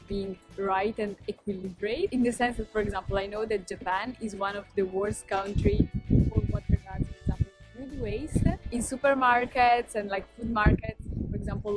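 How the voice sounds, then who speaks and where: A young woman in her twenties with an Italian accent, answering questions in a casual street interview and searching for her words as she thinks. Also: mid-range voice. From Italy. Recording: music over the voice.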